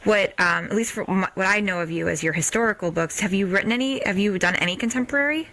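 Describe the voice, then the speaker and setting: A woman's voice: medium pitch, fast, a bit bright, rough recording. An American woman hosting a casual interview, with informal, hesitant phrasing. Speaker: podcast host, interviewer.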